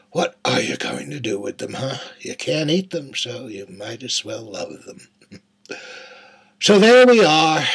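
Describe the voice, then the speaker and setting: A man performing a dramatic monologue as a bitter, darkly joking young man of twenty-one, with a husky voice and folksy, colloquial asides. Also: mid-range voice, a bit bright, heavily acted. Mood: wry, uneasy.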